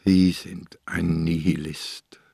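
A tired, ailing old commissioner in a radio crime play. His voice is low, quiet and terse, with stubborn defiance underneath. It is recorded in a dry studio. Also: level tone, takes it slowly, creaky, slightly rough, no room sound, exaggerated voice. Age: older. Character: weary, sick old male police commissioner, quietly defiant. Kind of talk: German radio crime drama acting.

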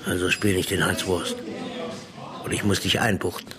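An actor in a German radio play playing a shrewd, threatening police inspector who interrogates bluntly in slang. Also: male voice, low-pitched, fairly even intonation, normal speed, crowd noise, lightly acted. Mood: menacing, dry.

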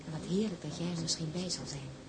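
Actress as low-voiced loving young wife, noisy backdrop. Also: female voice, fast talker, lightly acted. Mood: tender, joyful. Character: young loving wife reading her letter aloud. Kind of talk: radio drama acting. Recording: radio drama with loud ambient noise.

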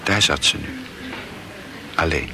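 A man's voice: extremely low pitch, slow pace, background noise. A man narrating a literary radio play as a scripted storyteller.

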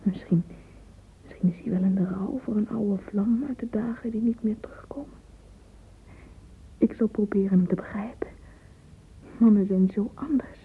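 A woman's voice: medium pitch, fairly even intonation, normal speed, pauses often, slight background noise, close to an everyday voice. An actress playing a dreamy, romantic young woman in a radio play monologue, whispering intimately and fervently through a narrow, phone-like recording. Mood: dreamy, wistful. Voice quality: breathy, whispery. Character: dreamy romantic young woman lost in yearning love fantasies.

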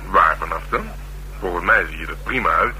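A man's voice: deep voice, fairly quick, crowd noise, lightly acted, rough recording. An actor playing a world-weary, teasing male police detective in a radio crime drama, with a Netherlands Dutch accent and a dry, needling manner. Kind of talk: naturalistic radio drama dialogue. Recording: hissy, humming old radio recording.

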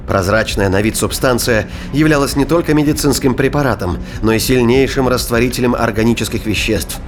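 A man's voice: medium pitch, a little fast, a little hiss or hum. A man reading scripted narration for a science-fiction thriller audio drama. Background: music.

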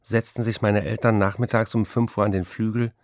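Man playing a low-voiced, reflective writer. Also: flat delivery, dry recording, clean studio sound, lightly acted. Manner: measured, literary first-person recollection. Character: reflective male writer recalling his bookish childhood. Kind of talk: radio play monologue.

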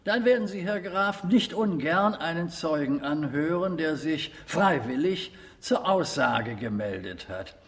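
Stern committee president, fairly high voice, courteous, probing, formal, radio drama, long hall reverb. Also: male voice, animated, a little slow, few pauses. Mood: official.

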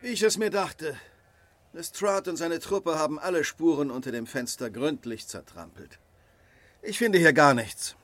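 An actor playing a keen gentleman detective who questions people precisely in a radio drama. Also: on the high side, animated, moderate pace, no echo. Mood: brisk, keen.

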